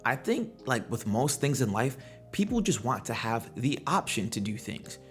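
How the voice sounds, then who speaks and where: A man's voice: mid-range voice, very animated, moderate pace, faint music behind. A man hosting a gaming commentary video, talking in a conversational, slangy way and throwing out rhetorical questions. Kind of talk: casual opinionated video commentary.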